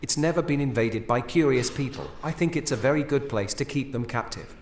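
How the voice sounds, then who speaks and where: A man playing a composed gentleman from an old island-owning family in an audio drama, speaking English. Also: mid-range voice, moderate pace, a little hiss or hum, lightly acted. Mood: composed, factual. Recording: very echoey room.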